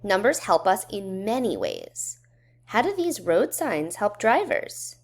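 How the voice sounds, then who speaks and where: A woman reading a children's educational book aloud in a measured, instructional way, putting questions to young listeners. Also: medium pitch, huge pitch swings. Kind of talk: children's read-aloud narration.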